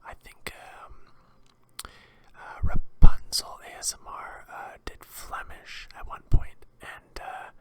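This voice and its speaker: An ASMR host from Quebec with a Canadian accent, rambling in a halting, hesitant whisper. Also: pushed and bright.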